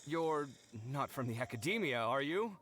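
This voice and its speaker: Flustered, animated young scholar. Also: male voice, fairly high pitch, normal speed. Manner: theatrical, indignant, fretful. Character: young, flustered, touchy, dramatic male scholar and roommate. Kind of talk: anime fantasy game voice acting.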